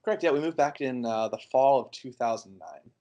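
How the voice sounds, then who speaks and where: A man in his early twenties from the United States, answering interview questions in a relaxed, rambling way with many fillers and restarts. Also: medium pitch, fairly quick, dull audio. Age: 20s. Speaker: interview guest.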